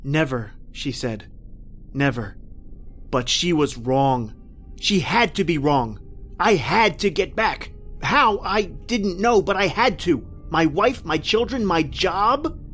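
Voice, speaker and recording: A man plays a distraught family man stranded in another age, in old-time radio horror style. His delivery is melodramatic and halting, repeating phrases with wide swings of pitch. Music runs behind the voice. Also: lively intonation, a bit bright. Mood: desperate. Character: distraught family man stranded in prehistoric past, disbelieving, desperate. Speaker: actor and first-person narrator in a horror radio play.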